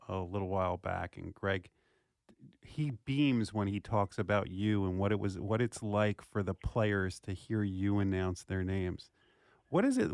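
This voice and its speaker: A man's voice: deep voice, dry recording, studio quality. A man hosting a sports interview in an admiring, conversational way.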